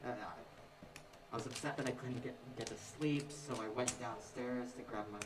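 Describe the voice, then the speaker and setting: A man's voice: medium pitch, normal speed, close to an everyday voice. A young man acting an anxious, awkward, hesitant student who stammers, in a stage play. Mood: nervous, rambling. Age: young adult. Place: indoor stage, recorded from afar. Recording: distant, echoey stage recording.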